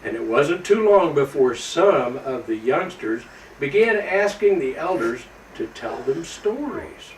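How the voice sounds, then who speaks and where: A man playing Santa Claus, a jolly old man reading a storybook to children in a folksy, slightly halting way. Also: a little high, big pitch swings. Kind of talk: storybook read-aloud to children. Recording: roomy, distant microphone with faint background noise.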